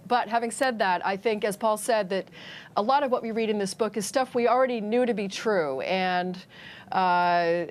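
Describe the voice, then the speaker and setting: A woman giving live political analysis as a guest on a news panel. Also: mid-range voice.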